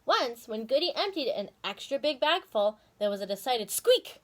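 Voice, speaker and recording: A woman reading a children's story aloud in a dramatic, expressive way. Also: on the high side, moderate pace.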